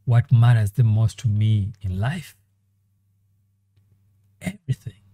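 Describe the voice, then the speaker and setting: A man performing the same dramatic monologue several times over, in a slow, theatrical delivery with long pauses. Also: on the low side, mellow, no echo. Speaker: animator and voice actor. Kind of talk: dramatic monologue in five voice takes.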